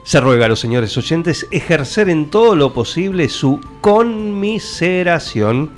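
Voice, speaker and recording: A man with an Argentine Rioplatense accent, narrating a radio play in a measured, mock-solemn scripted storytelling style. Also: fairly high pitch, sing-song, faint music behind.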